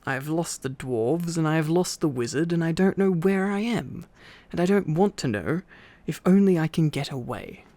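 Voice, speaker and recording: A man's voice: fairly high pitch, expressive, moderate pace, mellow, no room sound. A man voicing a polite, anxious little hobbit, careful and eager to agree, in a storybook reading. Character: polite, anxious little male hobbit, well-mannered adventurer. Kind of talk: storybook character voice in ASMR reading.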